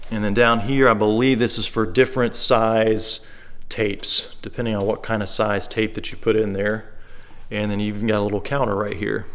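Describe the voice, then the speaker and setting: A man's voice: on the low side, fairly bright tone. A man giving a casual, unscripted product demonstration, plain-spoken and easygoing, thinking aloud as he goes. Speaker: secondhand shop presenter.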